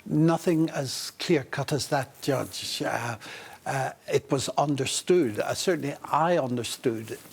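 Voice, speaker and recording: A man giving formal, halting testimony as a litigant on a TV court show, hesitant and deferential. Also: medium pitch, vocal fry, slightly rough.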